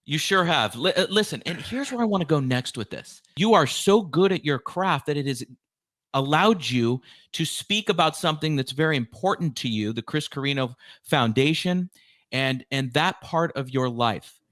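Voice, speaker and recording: A man hosting an interview podcast in an earnest, effusive way, with deliberate phrasing. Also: on the high side, expressive, a bit bright, dry recording, studio quality. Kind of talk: conversational interview.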